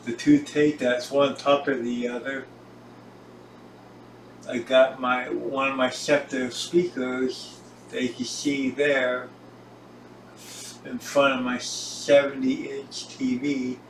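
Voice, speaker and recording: A man talks casually and haltingly, as if showing someone around while he films. His pace is slow and his phrases come in small pieces. The sound is roomy and distant from the mic, with a faint layer of noise. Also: medium pitch, flat delivery. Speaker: home recording hobbyist presenting own gear. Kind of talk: casual gear tour, narrated while filming. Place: home recording room, indoors. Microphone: camera on tripod, some distance away. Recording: roomy echo, distant mic, hissy background.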